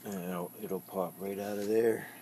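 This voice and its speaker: A man with a low-pitched voice and very flat intonation explains a hands-on repair. He is plainspoken and matter-of-fact, pausing as he works through the steps. Some noise sits behind his voice. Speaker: hobbyist demonstrating a radio repair. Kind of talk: casual unscripted how-to narration.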